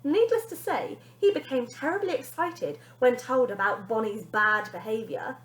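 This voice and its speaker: A woman teacher reading a children's story aloud in an expressive narrating style. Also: a little high, normal speed. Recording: echoey room.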